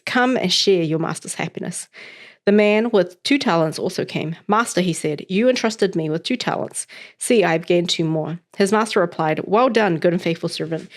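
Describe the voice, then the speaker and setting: Chatty New Zealand woman, lowish voice. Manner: chatty and upbeat, with loose fillers. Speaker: host reading scripture. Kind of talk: casual chat, then scripture reading aloud.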